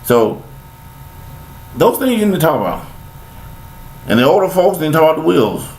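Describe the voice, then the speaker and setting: Gravelly older man preaching folksy advice. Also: a little high, big pitch swings, moderate pace, a little mellow, rough recording. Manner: preachy, folksy, repeats himself. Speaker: vlogger giving life commentary. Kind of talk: rambling spoken monologue.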